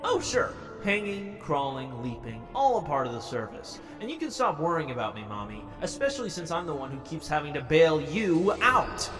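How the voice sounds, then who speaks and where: Casting: quippy, defensive young hero, voiced by a man, fairly high and very animated, in long reverb. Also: moderate pace, hardly any pauses. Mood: sarcastic. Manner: quippy, sarcastic. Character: wisecracking young male superhero, stubborn, defensive, earnest. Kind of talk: video game fandub acting. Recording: long reverb, game music behind.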